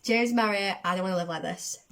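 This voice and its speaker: A woman chatting casually as the host of a reaction video, excitable, her voice going a little from a cold. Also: medium pitch, a little fast. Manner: excitable, self-described overdramatic. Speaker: host of a music reaction channel.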